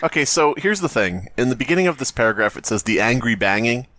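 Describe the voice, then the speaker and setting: A man co-hosting a comedy horror podcast, with pitch that swings widely as he reads and riffs. His delivery is theatrical and joking, with swearing thrown in. The sound is dry, with very little room. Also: expressive, fast, a bit bright, no echo. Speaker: podcast host. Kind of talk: reading horror story aloud with joking banter.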